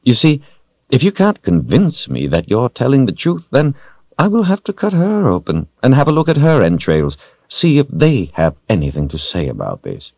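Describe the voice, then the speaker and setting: A man voicing a smug, villainous sorcerer in an audiobook, taunting and deliberate, with theatrical mock courtesy. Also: mid-range voice, wildly swooping pitch, gravelly, darkish tone, no echo, dull audio, pitched above the performer's own voice, full character voice. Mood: silky threat. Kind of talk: audiobook character voice.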